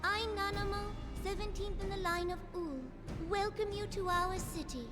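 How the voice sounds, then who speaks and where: Little queen, super high voice, proper and gracious, fantasy game scene, music going. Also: fairly even intonation, normal speed. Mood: gracious, regal. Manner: formal royal address. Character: small, regal young sultana, gracious, poised. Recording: clear game music behind.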